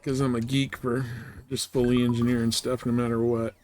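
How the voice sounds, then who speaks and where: A man's voice: medium pitch, level tone, fairly quick, a little mellow, faint music behind. A man chatting casually and informally as he livestreams a video game, laid-back in manner. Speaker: live streamer. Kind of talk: casual gaming livestream chat.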